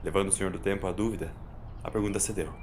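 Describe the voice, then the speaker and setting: A man's voice: low-pitched, steady tone, racing, darkish tone, slight background noise. A man with a Brazilian accent narrating a scripted audio story. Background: music.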